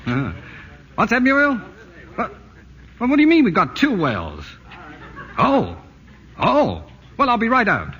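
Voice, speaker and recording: Animated, flustered husband, high bright voice. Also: male voice, a little slow, pauses often, heavily acted. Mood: excited, bewildered. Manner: sputtering, exasperated, questioning. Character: excitable, flustered husband and ad man building a house. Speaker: lead actor in a radio comedy. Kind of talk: 1940s radio acting. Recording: muffled, hissy old radio broadcast.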